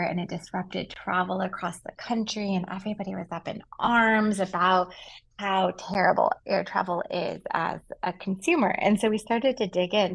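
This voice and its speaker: A woman's voice: lowish voice, vocal fry, rough recording. A woman speaking as a reporter being interviewed, explaining things with emphatic repetition.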